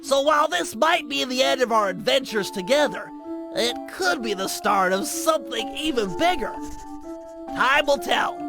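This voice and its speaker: An earnest, nerdy cartoon-game sidekick, with an extremely high voice. He tells the story sincerely over loud music. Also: expressive, normal speed, creaky, full character voice. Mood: hopeful. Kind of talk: character narration.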